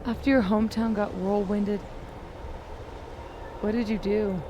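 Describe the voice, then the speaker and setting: A woman's voice: mid-range voice, steady tone, measured pace, background noise, lightly acted. An actress playing a wounded, defiant young woman in a video game, sardonic, bitter and profane. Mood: defiant, intense. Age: young adult. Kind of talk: naturalistic video game acting.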